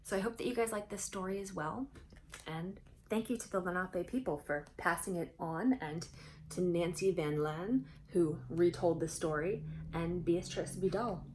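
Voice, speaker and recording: A woman reads a picture book aloud for young children. She tells the story expressively, in an animated manner, and often turns to her listeners with a question. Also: on the low side, measured pace, slight background noise, low-quality recording.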